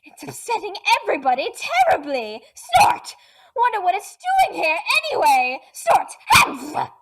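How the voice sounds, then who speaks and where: A grumbling storybook boar , as a woman puts him on, suspicious of everyone and snorting between his words. He mutters slowly to himself in a high, huffy voice. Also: sing-song, fairly bright tone, heavily acted, poor audio. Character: grumpy, suspicious male boar.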